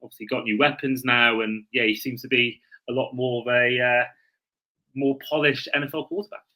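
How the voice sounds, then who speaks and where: A man's voice: medium pitch, flat delivery, a little fast, sharp tone. A man hosting a sports podcast in casual chat, often correcting himself mid-sentence.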